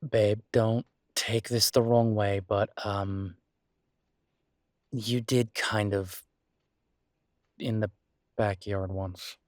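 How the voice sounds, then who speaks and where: A man's voice: fairly low pitch, steady tone, drawn-out words, long pauses, no echo, studio quality. A man playing a devoted, reassuring human boyfriend in an intimate roleplay audio, speaking casually and hesitantly. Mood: candid, teasing. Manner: hesitant, casual, soothing, with soft chuckles. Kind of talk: roleplay audio acting, intimate one-sided conversation.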